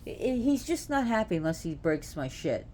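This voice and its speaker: A woman giving an informal, unscripted explanation, halting as she searches for words. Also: on the low side, sing-song, a little mellow. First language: not Gaelic. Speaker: presenter explaining names of a Celtic deity. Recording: clear background noise.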